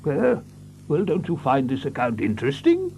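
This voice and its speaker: A man playing a fussy, excitable country doctor in a radio drama, stammering and repeating himself as he dithers. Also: fairly high pitch, moderate pace, creaky, a little hiss or hum, exaggerated voice. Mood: agitated, earnest. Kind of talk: theatrical radio drama acting.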